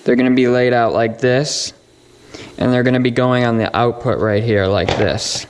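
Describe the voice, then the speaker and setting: A man's voice: medium pitch, fairly even intonation, moderate pace, slight background noise. A young man presenting a hands-on electronics build in a casual, matter-of-fact, informal way.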